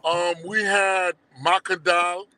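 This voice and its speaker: A middle-aged man with a Haitian accent, guest on a casual livestream interview, telling animated stories full of slang and swearing. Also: high voice, slow pace, poor audio. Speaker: guest, documentary producer.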